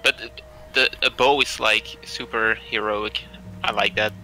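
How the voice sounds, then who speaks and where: Gravelly, very bright-voiced man. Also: fairly low pitch, fairly even intonation, unhurried, faint music behind, rough recording. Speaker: podcast co-host. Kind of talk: casual gaming podcast chat.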